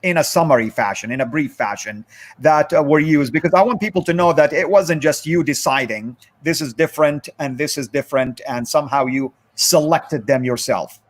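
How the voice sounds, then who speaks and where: A man hosting a live stream discussion, thanking moderators and questioning a guest in a halting way. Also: on the high side. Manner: halting, with many fillers and restarts.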